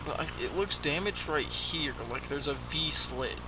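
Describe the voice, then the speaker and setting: Man musing aloud over clear background noise. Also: on the high side, normal speed. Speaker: host reacting to videos. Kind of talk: casual off-the-cuff reaction commentary.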